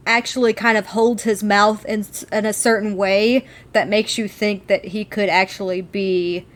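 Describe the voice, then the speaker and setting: A woman's voice: medium pitch, fairly even intonation, normal speed. A woman co-hosting a podcast, discussing a TV show in a casual, enthusiastic, conversational way. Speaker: podcast co-host.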